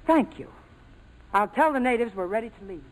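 An actress playing a haughty, resourceful young woman with a brisk, defiant manner in a 1940s radio drama. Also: fairly high pitch, lively intonation, lightly acted, low-quality recording.

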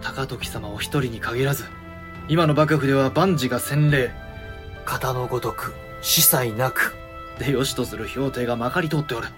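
A man acting a sober, authoritative samurai regent in a voice drama, formal, firm and measured. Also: medium pitch, over music, lightly acted. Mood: stern explaining. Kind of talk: voice drama acting in period Japanese.

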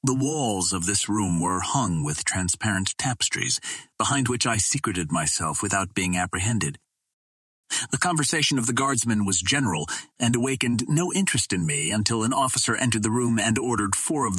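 Low-voiced man reading, slightly husky. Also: lively intonation, fairly quick, a bit bright, dry recording. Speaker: audiobook reader. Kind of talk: scripted narration of a classic adventure novel.

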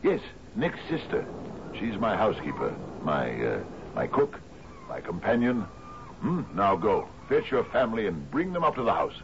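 Deep-voiced, lordly sea captain. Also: male voice, huge pitch swings, moderate pace, vocal fry, exaggerated voice. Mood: hearty welcome. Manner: hearty, lordly, repeats his phrases. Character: genial, domineering sea captain, master of an island. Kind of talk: old-time radio drama acting. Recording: muffled, noisy old radio broadcast.

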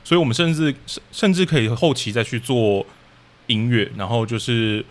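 A man's voice: mid-range voice, expressive, normal speed. A man with a Taiwanese accent speaking as a podcast guest, casually explaining his creative work step by step. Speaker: podcast guest, audio drama troupe leader. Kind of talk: casual explanatory interview talk.

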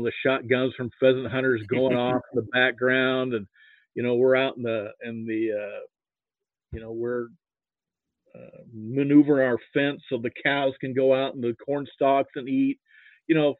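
A middle-aged American man with a Midwestern accent, chatting casually as an interview guest in a halting, hesitant way. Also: mid-range voice, flat delivery, no echo. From rural Nebraska, United States. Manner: halting, hesitant delivery with repeated "you know". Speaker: guest, sports fan and archivist. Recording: muffled, narrow phone-like line.

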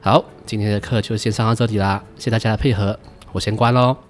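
A man plays an earnest male teacher in a radio drama, with a fairly low voice and a Malaysian accent. He is stern but caring and easily flustered, over a faint music bed. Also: fairly even intonation, fast talker, close to an everyday voice. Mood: businesslike. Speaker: amateur voice actor. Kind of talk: Chinese radio drama acting.

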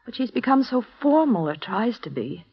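A woman's voice: a little high, very animated, moderate pace, breathy edge, heavily acted. An actress playing a frightened young woman who speaks anxiously and hesitantly, in a vintage radio drama. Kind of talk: 1950s radio drama acting. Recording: dull lo-fi vintage radio broadcast.

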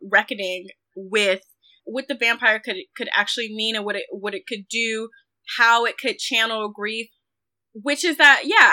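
Bright, high-voiced woman discussing film thoughtfully. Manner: thoughtful, hesitant, carefully qualifying her points. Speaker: guest film critic. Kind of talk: reflective film discussion interview.

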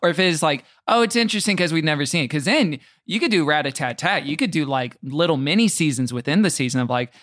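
A man chatting casually as a podcast guest, with an animated, teasing manner. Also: on the high side, fast talker, few pauses, dry recording.

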